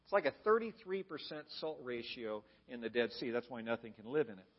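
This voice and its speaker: A man's voice: medium pitch, expressive, brisk, highs cut off. An American man giving an explanatory sermon as a pastor, conversational and plain-spoken. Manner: conversational, plain-spoken, asks the listeners questions. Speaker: pastor preaching to a congregation.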